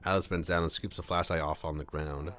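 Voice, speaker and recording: A man's voice: deep voice, steady tone, fairly quick, fairly bright tone. A man streaming a read-aloud of a visual novel, narrating and adding casual, playful asides. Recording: music playing behind the voice. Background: music.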